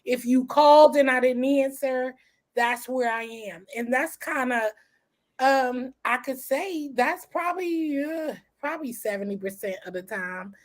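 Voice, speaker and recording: A woman with a slightly hoarse voice, chatting casually and calling everyone girl. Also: sits high, measured pace. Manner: drawling, calls everyone girl, lively laughs. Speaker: guest in a live chat. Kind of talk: casual gossipy chat.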